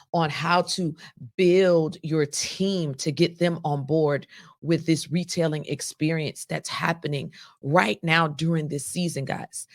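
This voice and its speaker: A woman hosting a podcast, her voice raspy and hoarse from laryngitis, speaking straight to listeners in an upbeat, emphatic, motivational and folksy way. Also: sits low. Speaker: podcast host and business coach.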